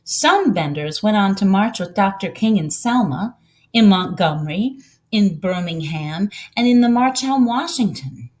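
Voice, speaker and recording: Woman voicing a kindly old farm woman, animated lilt. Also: medium pitch, close to an everyday voice. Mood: proud, earnest. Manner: folksy, unhurried storytelling.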